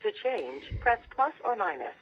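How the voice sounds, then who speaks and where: Casting: female device prompt voice, clipped announcements, lively intonation, dull small-speaker sound. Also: medium pitch, normal speed, husky, dry recording. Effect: small radio speaker. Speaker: walkie-talkie voice prompt. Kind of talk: clipped instructional menu announcements. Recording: muffled playback from small radio speaker.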